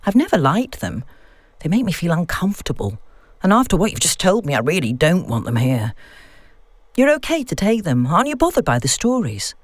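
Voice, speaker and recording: A woman's voice: fairly low pitch, sing-song, fairly quick, no room sound. A woman voicing an uneasy middle-aged woman, curious and wary, in an audiobook story. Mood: uneasy, relieved. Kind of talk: audiobook dialogue.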